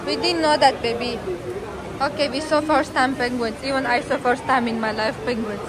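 Excited young woman vlogging with phone. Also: high voice, normal speed. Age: young adult. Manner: excited, talks to viewers and her small son. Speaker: family vlogger. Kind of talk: casual vlog narration. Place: busy mall and aquarium, indoors and out. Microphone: phone at arm's length. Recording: loud crowd and street noise.

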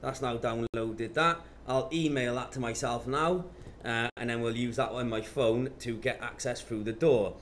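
A man's voice: medium pitch, fairly even intonation, normal speed, few pauses, a bit bright. Man presenting, noticeable background noise. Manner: matter-of-fact, talking through steps as he clicks. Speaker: presenter of product tutorials. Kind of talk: informal software demonstration. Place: indoor office.

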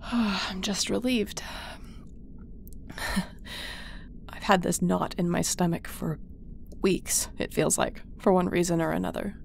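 A woman playing a caring, loyal spaceship captain in a sci-fi audio roleplay, creaky and conversational, with a tender, reassuring manner. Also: fairly low pitch, slow, long pauses, darkish tone, slight background noise. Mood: relieved, thoughtful. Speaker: voice actor.

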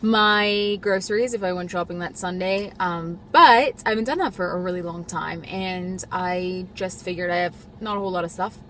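A woman's voice: on the low side, moderate pace, hardly any pauses, a bit bright, slight background noise. A young woman vlogging casually from her parked car on a phone camera, rambling and chatty with frequent ums. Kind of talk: casual grocery haul vlog. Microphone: phone camera on the dashboard.

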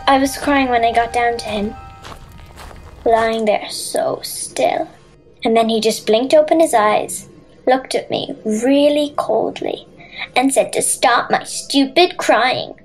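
A woman playing an earnest, warm-hearted young Irish girl in a children's audio drama. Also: a little high, slow, faint music behind, low-quality recording. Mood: hurt.

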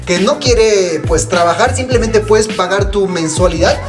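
A young man giving a promotional pitch straight to camera as a channel host. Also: unhurried, distant mic. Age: young adult. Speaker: YouTube channel host and recruiter. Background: hip hop music.

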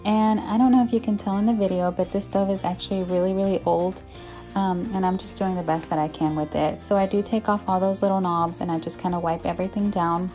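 A woman's voice: medium pitch, steady tone, a little fast, mellow, faint music behind. A young woman talking casually to her viewers while cleaning her home kitchen, reassuring and a little apologetic about the mess. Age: young adult. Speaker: home vlogger. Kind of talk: casual cleaning vlog narration.

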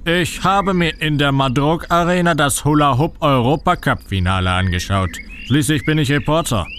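A man's voice: fairly high pitch, moderate pace, fairly bright tone, slight background noise, close to an everyday voice. An actor playing a shady, theatrical older male reporter in a comic radio play, speaking in an ominous, melodramatic way.

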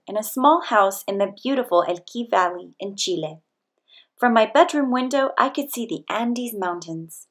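A woman with a fairly high voice reads a children's story aloud. She delivers the scripted narration deliberately and expressively, in the manner of a storyteller. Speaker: storybook reader.

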